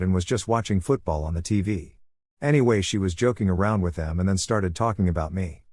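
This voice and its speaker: A man reading online posts aloud in a steady, even voice-over. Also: low-pitched, dry recording. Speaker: story reader.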